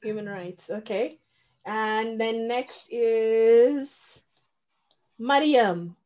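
A middle-aged woman teaching an online children's class, calling names and repeating each answer back in a brisk, encouraging way, heard through a webcam at home. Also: fairly high pitch, darkish tone, dull audio. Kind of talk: leading a class roll call on a video call. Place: small room at home, brick-pattern wall. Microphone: video call, webcam.

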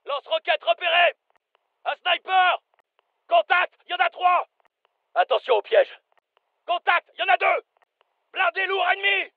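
High-pitched, urgent soldier. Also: male voice, a little slow, pauses often, no room sound, narrow, tinny audio. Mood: alarmed. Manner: clipped, commanding. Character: urgent, brisk male special forces soldier. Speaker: video game soldier. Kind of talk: military combat callouts in a dubbed game.

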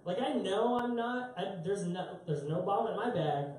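A man's voice: high-pitched, animated, moderate pace, darkish tone. A young man doing live stand-up, telling rambling, self-mocking stories and laughing at himself on a small club stage. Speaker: stand-up comedian. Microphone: handheld mic, heard through a far camera. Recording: roomy echo, distant live capture.

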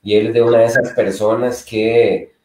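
A man's voice: mid-range voice, level tone, normal speed, darkish tone, distant mic. A middle-aged man introducing a guest speaker on a video call, with many fillers between phrases. His voice sounds set back from the mic. The room gives it a roomy echo. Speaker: university host. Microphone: webcam on a video call.

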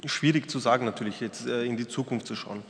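A man's voice: mid-range voice, fast talker, creaky, fairly bright tone. A young man from Austria, a panel guest speaking frankly and earnestly, with some hesitant fillers, at a table microphone. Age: young adult. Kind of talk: panel discussion. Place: wood-panelled hall, indoors. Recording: reverberant hall with faint noise.

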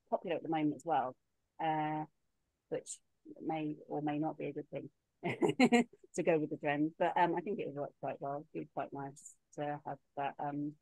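A woman gives friendly, halting feedback on a video call. Her voice is fairly low, and the sound is dry and close. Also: mellow, no room sound, rough recording. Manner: halting, filler-heavy, friendly. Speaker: script reading panelist. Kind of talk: casual video-call discussion.

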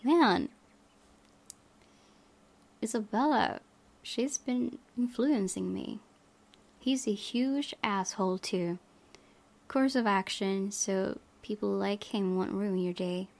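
A woman reading visual novel narration aloud as a let's play host, softly, with casual asides. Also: mid-range voice, gravelly.

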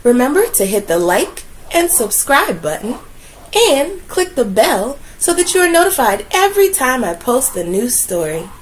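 A woman reading a children's picture book aloud in a gentle, expressive, soothing way. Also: sits high, moderate pace, slight background noise. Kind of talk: read-aloud storytelling.

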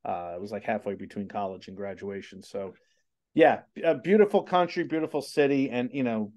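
A man's voice: lowish voice, fairly quick, a little mellow. A man, hosting a casual interview over video call from a small home studio, chatty and rambling. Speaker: host. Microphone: studio mic on boom arm.

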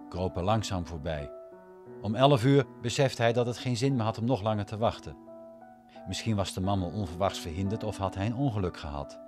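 Guy narrating a radio play, lowish voice, measured, music playing behind. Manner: measured, storytelling. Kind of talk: scripted radio drama narration.

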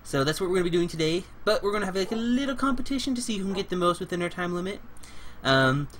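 A young man hosting a casual game let's play, playful and chatty, getting over a cold and clearing his throat now and then. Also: high-pitched, lively intonation, fast talker, a bit bright, slight background noise. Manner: playful, chatty, talks to animals and co-player in a cutesy way. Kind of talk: casual gameplay commentary.

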